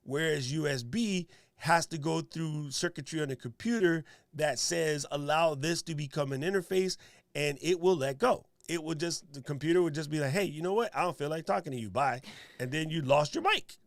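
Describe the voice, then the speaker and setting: Deep-voiced man, dry close sound. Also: normal speed, no echo. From Hawaii resident. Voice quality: deep radio voice. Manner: emphatic, punchy, laughs at his own points. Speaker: streaming show co-host. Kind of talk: casual tech discussion.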